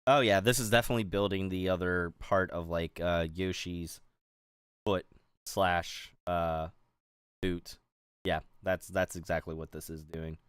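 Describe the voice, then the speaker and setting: A man's voice: low voice, fairly even intonation, unhurried, smooth, no room sound, clean studio sound. A middle-aged man from the Pittsburgh area, streaming and rambling casually with lots of fillers and restarts while he builds. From Pittsburgh area, USA. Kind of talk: casual chat while building a toy set.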